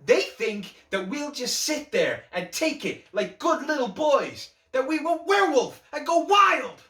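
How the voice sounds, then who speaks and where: A man's voice: very animated, drawn-out words, exaggerated voice. A man performing a dramatic monologue as an embittered, unhinged outcast, theatrical and aggrieved as he rants at a talk-show host. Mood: agitated outrage. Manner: theatrical, aggrieved, swinging from shouting to low seething. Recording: roomy echo, distant microphone.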